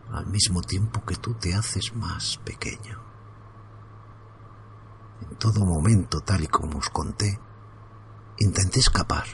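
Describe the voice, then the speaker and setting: Low-voiced guy narrating a creepy story, tense and hushed, bit of faint noise behind. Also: gravelly. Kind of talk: first-person horror story reading.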